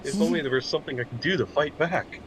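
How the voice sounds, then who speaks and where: A man's voice: fairly high pitch, fast talker, fairly bright tone, poor audio. A man in casual banter as co-commentator on a stream, teasing and swearing. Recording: noticeable background noise.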